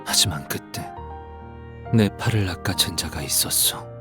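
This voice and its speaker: Very deep-voiced, desolate poetic man. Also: animated, measured pace, music in the background. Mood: resigned. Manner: archaic, literary, solemn phrasing. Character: weary, desolate, poetic man of archaic speech. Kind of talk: dramatic game voice acting.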